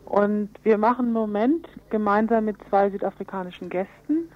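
Woman interviewed on radio, dull lo-fi sound. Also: mid-range voice. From Berlin, Germany. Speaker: interview guest, aid organisation representative. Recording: dull band-limited radio interview recording.

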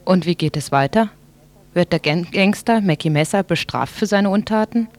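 A radio presenter reads scripted introductions and short commentary. The voice sits at a medium pitch and speaks as if guiding listeners through a programme. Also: moderate pace.

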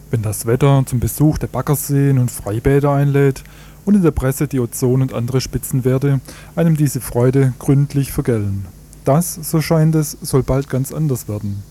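A man's voice: medium pitch, dark tone. A man working as a radio interviewer and presenter, reading scripted questions and introductions.

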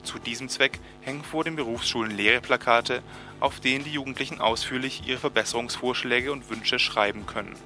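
Male radio presenter, bright voice over music. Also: mid-range voice, fairly even intonation, a little fast. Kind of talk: scripted narration introducing a report. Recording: music behind the voice.

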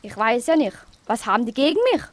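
A wannabe gangster rapper played by a woman talks in pushy Swabian slang, brash but wounded. The voice is on the high side for a radio play, with slight background noise. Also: lively intonation, fast, natural voice. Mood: boastful, frustrated. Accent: Swabian German. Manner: slangy, pushy, punctuates talk with "hä".

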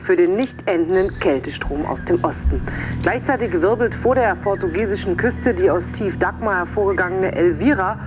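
A woman's voice: medium pitch, moderate pace. A woman presenting a scripted weather report on the radio. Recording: dull lo-fi radio recording. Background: clearly audible music.

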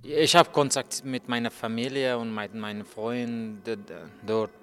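A man, telling his story as a journalist in halting speech full of fillers and restarts. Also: mid-range voice, little pitch movement, fairly bright tone, faint music behind. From Afghanistan. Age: 30s. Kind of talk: hesitant interview answers in learner German.

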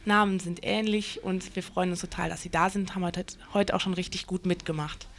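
A woman's voice: on the low side, fairly even intonation, brisk, a little hiss or hum. A woman working as a radio newsreader, speaking into a studio microphone in a booth. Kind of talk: scripted news reading.

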